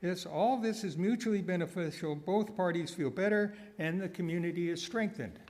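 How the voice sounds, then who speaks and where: A man delivering a prepared sermon with wry asides, phrasing deliberately and repeating words for emphasis. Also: high-pitched, darkish tone. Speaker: guest speaker giving a sermon, nonprofit founder.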